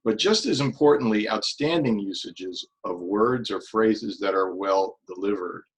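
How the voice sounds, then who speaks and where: A man acting as grammarian at a club meeting on a video call, speaking in a formal, deliberate way. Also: fairly low pitch, low-quality recording. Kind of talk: explaining a meeting role.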